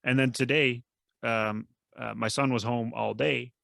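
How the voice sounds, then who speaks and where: Gravelly man, relaxed. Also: mid-range voice, measured pace, no echo, studio quality. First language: Dutch. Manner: relaxed storytelling with laughs. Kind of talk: casual chat.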